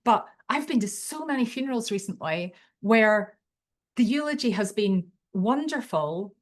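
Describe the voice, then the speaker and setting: A woman's voice: a little high, lively intonation, unhurried. Belfast woman running a webinar, chatty and keen, talks off the cuff into her computer mic. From Northern Ireland. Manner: chatty, enthusiastic, unpolished speech. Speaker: webinar presenter. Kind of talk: live online tutorial.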